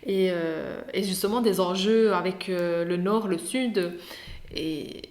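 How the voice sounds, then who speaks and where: A woman's voice: lowish voice, takes it slowly, darkish tone. A young adult woman, an interview guest telling her story with lots of fillers and restarts. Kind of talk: casual interview conversation.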